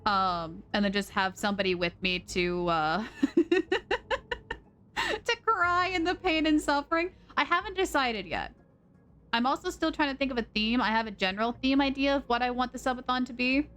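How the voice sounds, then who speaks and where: A woman streaming a game, chatting casually and rambling as she thinks out loud. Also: a little high, lively intonation, moderate pace, faint music behind. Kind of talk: casual live-stream chat.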